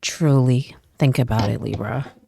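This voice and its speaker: Very low, gravelly-voiced woman reading tarot. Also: unhurried, dark tone, no echo. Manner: chatty, checks in with frequent "okay?" and "right?". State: stuffy from a cold. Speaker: tarot reader. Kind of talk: casual tarot reading to camera.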